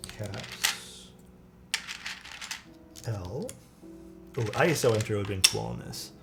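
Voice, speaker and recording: Casual live-streamer, male, soothing tone, expressive pitch, over steady background music. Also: slow pace. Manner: relaxed, chatty, reads viewer chat aloud and answers. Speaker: streamer building a keyboard. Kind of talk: casual live-stream chat while working. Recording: clear background music under voice. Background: music playing and keyboard clacking.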